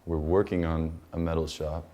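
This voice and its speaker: An American man casually showing people around as a workshop member, speaking in a halting, hesitant way. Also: a bass voice, level tone, warm tone. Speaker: community workshop member giving a tour. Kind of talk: casual informal explanation.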